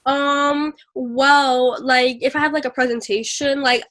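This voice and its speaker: A teenage girl with a stutter, answering interview questions on a video call, full of "like" fillers and sometimes speaking slowly. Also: sits high, steady tone, fairly bright tone. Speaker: guest. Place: small room indoors.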